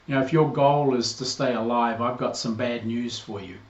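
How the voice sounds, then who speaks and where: A man in his sixties with a New Zealand accent, a priest giving a measured, earnest greeting to a parish. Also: medium pitch, fairly even intonation. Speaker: priest, incoming interim rector. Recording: roomy, slightly dull recording.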